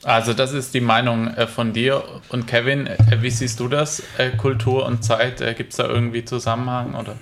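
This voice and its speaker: A man's voice: medium pitch, level tone, moderate pace, gravelly, hardly any pauses, background noise. A young man hosting a radio panel show, asking questions and keeping the talk on schedule, with many small fillers. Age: young adult. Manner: chatty moderator with frequent fillers.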